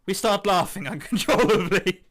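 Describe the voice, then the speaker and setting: A man's voice: sits high, moderate pace, fairly bright tone. A man hosting a gaming channel, reading a visual novel aloud in a theatrical, jokey way with ad-libbed commentary.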